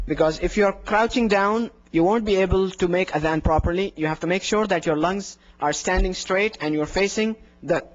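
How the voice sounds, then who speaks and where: A man's voice: high voice, highs cut off. A young man teaching a workshop on giving the call to prayer, explaining and demonstrating with his own voice into a handheld microphone indoors. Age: young adult. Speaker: adhan instructor. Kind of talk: workshop lecture. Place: indoor room in front of a plain wall. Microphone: handheld microphone plus lapel mic.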